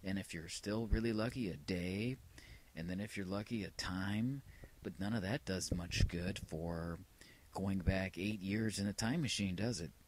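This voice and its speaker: Male vlogger, deep voice, rambling and reflective, wry delivery, slight background noise. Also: measured pace. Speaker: vlogger playing old answering machine tapes. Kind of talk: casual rambling personal narration.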